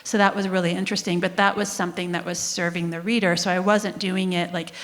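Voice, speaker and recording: A woman's voice: lowish voice, little pitch movement, quick pace. Chatty woman in an echoing hall. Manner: chatty, fillers like "like". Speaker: panelist, science author. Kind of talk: conference panel answer. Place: conference hall. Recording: reverberant conference hall.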